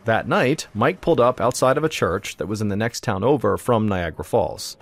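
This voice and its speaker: A man hosting a podcast, telling a true story in steady, suspenseful scripted narration. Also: on the low side, fairly quick.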